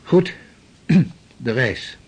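Husky seasoned sea captain. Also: male voice, mid-range voice, animated, drawn-out words, heavily acted. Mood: eager, decisive. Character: seasoned, eager Dutch sea captain and navigator. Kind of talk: radio play acting.

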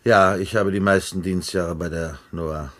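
An actor playing a weary, cynical official on a dying future Earth in a 1970s radio play, speaking dryly and matter-of-factly. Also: male voice, low-pitched, fairly even intonation, a little slow, fairly bright tone. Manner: dry, matter-of-fact, sardonic.

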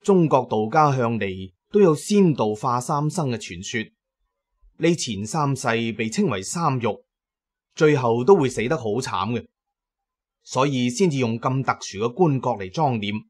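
A man's voice: mid-range voice, lively intonation, unhurried. A man giving dramatic first-person narration as the narrator of an audio drama.

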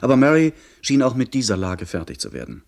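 Young male adventurer-narrator, middle register, literary, measured radio drama narration. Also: mid-range voice, huge pitch swings, gravelly. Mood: tense, narrating. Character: young gentleman recounting his pirate adventure.

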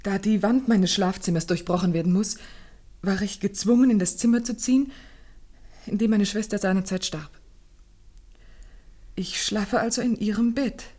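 A woman's voice: medium pitch, normal speed, long pauses, warm tone, natural voice. Woman playing an anxious well-bred young lady. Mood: composed, formal. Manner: earnest, formal, carefully articulated storytelling. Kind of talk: German radio play acting.

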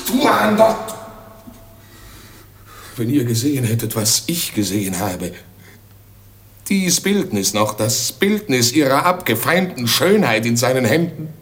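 Slow, anguished, wailing loyal servant. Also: male voice, fairly bright tone. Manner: wailing, highly theatrical declamation. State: anguished, moaning. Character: grief-stricken loyal servant of an executed prince, cursing bitterly. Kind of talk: classical German radio play acting in verse.

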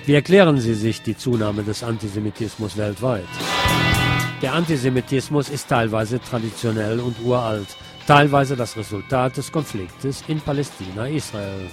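Man reading aloud over loud guitar music. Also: medium pitch, normal speed. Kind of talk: scripted reading of a published interview.

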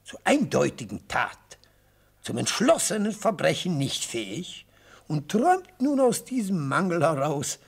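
An actor playing an elderly, theatrical defence lawyer in a radio play, speaking in rhetorical, declamatory phrases with savoured pauses and a husky voice. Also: male voice, a little high, sing-song, full character voice. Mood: grandiloquent. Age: older. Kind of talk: radio play courtroom oratory. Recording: vintage radio play recording.

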